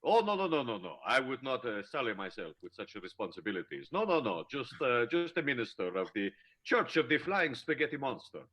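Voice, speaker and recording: A man's voice: mid-range voice, very animated, a little fast, few pauses, a bit bright. A man playing a pompous, courtly mail-order minister in an improvised radio play, speaking formally and wordily. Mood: pompous, genteel. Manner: formal, fussy, wordy.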